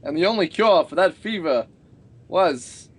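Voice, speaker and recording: High-pitched man, sarcastic host. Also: expressive, husky. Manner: sarcastic, brisk. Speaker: podcast host asking questions. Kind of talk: casual group chat.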